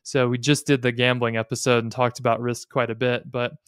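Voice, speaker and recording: An American man talks as a podcast host, explaining ideas in a thoughtful, measured way. His voice is smooth and mid-pitched, and it sits close on a studio mic with very little room sound. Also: little pitch movement, no echo. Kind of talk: explanatory podcast talk on personal finance and faith. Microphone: close studio mic on a boom arm.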